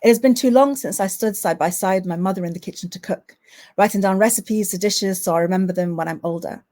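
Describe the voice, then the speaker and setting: A woman poet, sitting at a mid pitch. Between poems she talks to her online audience in a halting way, full of "um"s. When she reads, her delivery turns rhythmic and impassioned. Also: warm tone. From Manchester, England. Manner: hesitant, filler-heavy chat between poems. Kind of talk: spoken word poetry reading with chat to an online audience.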